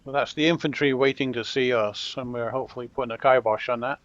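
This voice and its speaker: A middle-aged man from Britain with a blended British and American accent, giving relaxed, wry commentary over a strategy game and joking with his units. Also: mid-range voice, quick pace. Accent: mixed British and American. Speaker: gameplay commentator. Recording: dull lo-fi audio.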